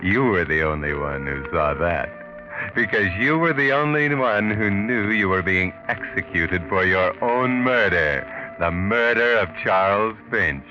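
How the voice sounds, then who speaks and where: Ominous, taunting American storyteller of murder. Deep voice, deliberate 1940s radio delivery over prominent music. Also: male voice, animated. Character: sinister, mocking, all-knowing male narrator of murder tales. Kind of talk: 1940s radio mystery narration. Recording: muffled 1940s radio recording. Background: dramatic music.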